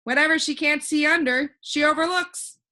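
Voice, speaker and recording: A woman's voice: sits high, normal speed, pushed and bright, pitched above the performer's own voice. A woman voicing a cheerful, know-it-all young boy in a storybook, proudly explaining things. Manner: proud, lecturing. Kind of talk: animated children's storybook reading.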